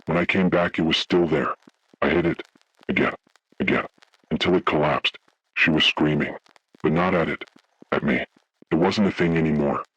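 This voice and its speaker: Guy playing a haunted man telling a scary story, super deep raspy voice, slow ominous phrasing, grave and full of dread. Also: fairly bright tone, no echo, exaggerated voice, low-quality recording. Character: haunted man recounting childhood motel horror, grave, dread-filled. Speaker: horror story narrator. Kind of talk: scripted first-person horror narration.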